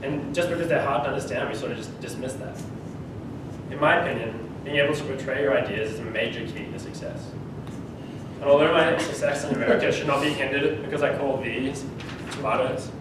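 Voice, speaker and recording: A man's voice: mid-range voice, fairly quick, background noise. A teenage boy with an Australian accent giving a humorous, conversational speech to his class. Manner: humorous, conversational, laughs mid-sentence. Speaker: student speaker. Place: school classroom. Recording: echoey room, far from the mic.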